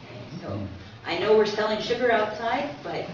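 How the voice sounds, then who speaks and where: A woman's voice: mid-range voice, animated, normal speed. A woman presenting to an audience in a conversational lecture style, with small asides and read-out sayings. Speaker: guest speaker presenting a book. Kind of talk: informal public lecture with read-out aphorisms. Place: echoing talk room at a community centre. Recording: echoey hall from far off, muffled, noisy.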